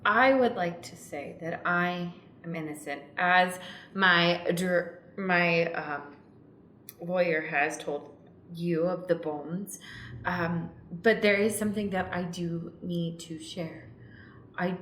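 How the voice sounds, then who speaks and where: A woman playing an earnest female monk on a spiritual journey in an improvised radio play, speaking in halting, grave speeches. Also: fairly low pitch, a bit bright. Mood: solemn, hesitant. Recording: roomy echo with faint noise.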